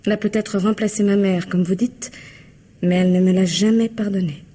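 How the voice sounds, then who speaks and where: A woman's voice: mid-range voice, steady tone, a little fast, dark tone, close to an everyday voice. An actress playing a cold, bitter, defiant young lady in a French radio mystery, with curt, taunting delivery.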